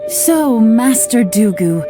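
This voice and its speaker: A woman voicing a composed, polite young investigator in a video game, with courteous, measured and slightly formal speech. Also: on the high side, wildly swooping pitch, full character voice. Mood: courteous, earnest. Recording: faint game music behind the voice.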